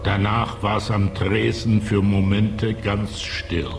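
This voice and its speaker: An actor as a grave, deliberate narrator of ghostly poetic scenes in a radio play. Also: male voice, on the low side, flat delivery, creaky. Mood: grave, hushed. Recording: music playing behind the voice.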